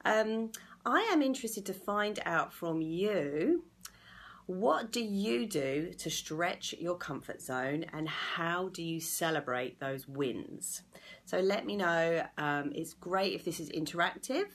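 Woman, 30s, low and croaky voice, friendly live-stream coach talking to a phone at home. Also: expressive, takes it slowly, a bit bright. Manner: chatty and encouraging, greets viewers by name. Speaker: anxiety coach hosting a live video. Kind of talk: casual live-stream talk to viewers. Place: small indoor room at home. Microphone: phone or laptop camera at arm's length.

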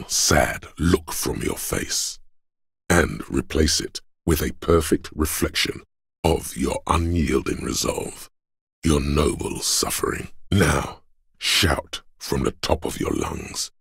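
An extremely deep, gravelly inner voice. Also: male voice, lively intonation, a bit bright, no echo, studio quality, heavily acted. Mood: solemn, menacing. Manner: slow, solemn, portentous. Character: inner voice of grim, stoic endurance, male, zealous. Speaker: voice actor. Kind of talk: dramatic game narration, second person.

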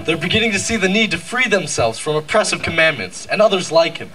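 A man's voice: on the high side, lively intonation, fast, pushed and bright. A young man playing an earnest scriptural rebel turned convert in an amateur outdoor pageant. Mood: persuasive. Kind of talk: amateur stage pageant recitation. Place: outdoor stage at night. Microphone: handheld mic, filmed from audience. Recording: distant outdoor stage mic with music.

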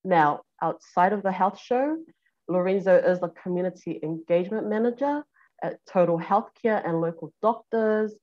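A woman's voice: lowish voice, normal speed, darkish tone. Upbeat woman hosting over a video call. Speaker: show host. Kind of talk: hosting a health talk show. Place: home room. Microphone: earphones with mic on a video call. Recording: slightly dull video-call audio.

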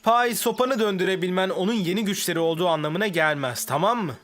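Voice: mid-range voice, fast talker, a bit bright, natural voice. An actor voicing a boastful cartoon swordsman. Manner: boastful, showy. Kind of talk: Turkish cartoon dub. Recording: music behind.

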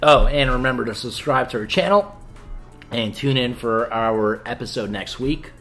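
A man's voice: mid-range voice, moderate pace, a bit bright, faint music behind. A man in his twenties co-hosting a casual film review in a small living room, talking with exasperated scorn. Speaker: film review show co-host.

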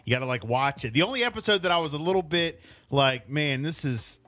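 A man's voice: a little high, lively intonation, a little fast, sharp tone. A middle-aged man hosting a casual morning radio interview in a chatty, informal, effusive way on a studio mic. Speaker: radio host. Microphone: studio mic on boom arm, headset.

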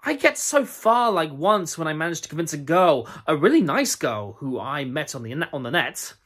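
A young man playing a lonely, self-loathing young man who tells, haltingly, how a date walked out on him; his voice is husky. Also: fairly high pitch, sing-song, fairly bright tone, exaggerated voice. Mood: wry self-pity. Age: young adult. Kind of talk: audition monologue.

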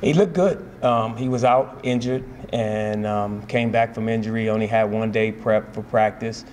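A man in his forties, a head basketball coach, talking in a measured, steady way with many fillers and repeated phrases at a post-game press conference, speaking into table microphones. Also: fairly low pitch, slight background noise. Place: press room in front of a sponsor backdrop.

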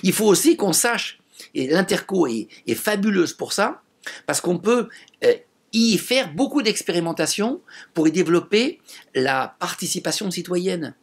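Male elected official, sixties, high-pitched and husky, interview testimony. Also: sing-song, unhurried. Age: 60s.

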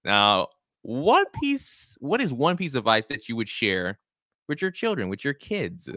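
A man's voice: medium pitch, huge pitch swings, measured pace, bright, forceful tone, no room sound. A young man hosting a podcast from a small home studio, talking in a chatty, encouraging way. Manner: encouraging, chatty, repeats himself. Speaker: podcast host. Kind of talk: casual interview chat. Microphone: studio mic with headphones.

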